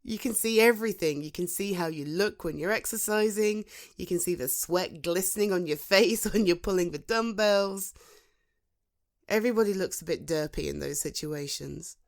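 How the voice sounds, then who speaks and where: A British woman playing a protective, supportive female friend in a conversational ASMR roleplay, soothing and encouraging, with thoughtful pauses. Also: on the low side, natural voice. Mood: earnest, encouraging. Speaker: voice actor in an audio roleplay. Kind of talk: ASMR roleplay, conversational one-sided dialogue.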